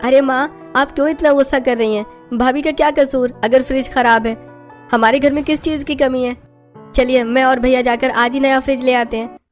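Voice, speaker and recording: A woman's voice: sits high, fairly even intonation, moderate pace, faint music behind, voice pushed up, natural voice. Shivang: woman doing an earnest young brother-in-law. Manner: earnest, pleading with his mother. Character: young brother-in-law standing up for his sister-in-law.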